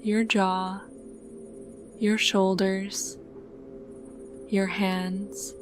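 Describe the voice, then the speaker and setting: Very slow, calm woman guiding sleep meditation. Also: mid-range voice, music in the background. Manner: calm, soothing, unhurried. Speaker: guided sleep meditation narrator. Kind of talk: scripted guided meditation narration.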